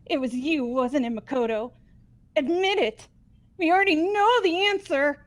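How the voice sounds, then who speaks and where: Girl voice actor doing an excitable student in a mystery game, really high voice. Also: moderate pace, close to an everyday voice. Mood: accusing. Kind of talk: fan voice acting of a video game script.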